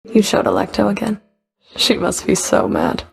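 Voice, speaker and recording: A woman's voice: mid-range voice, wildly swooping pitch, moderate pace, creaky, heavily acted. A woman playing a stern, sardonic underworld Fury in a video game, speaking in a cool, dry, clipped way. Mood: dry, teasing. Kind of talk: video game character voice acting.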